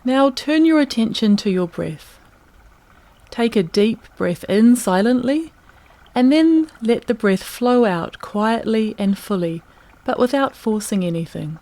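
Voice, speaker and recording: A woman narrating a guided meditation in a calm, gentle, unhurried manner, leaving long pauses between phrases. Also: on the high side.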